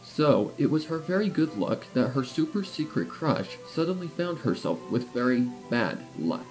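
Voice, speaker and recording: A man narrating a scripted fan fiction story in a playful, expressive storytelling way. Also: medium pitch, moderate pace, low-quality recording. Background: music.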